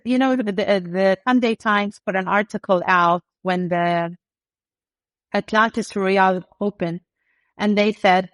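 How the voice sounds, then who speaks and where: A middle-aged woman, raised in the UK, speaking as a company co-founder and guest in a casual interview. She talks with animated confidence, drawing on vivid examples. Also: mid-range voice, no echo.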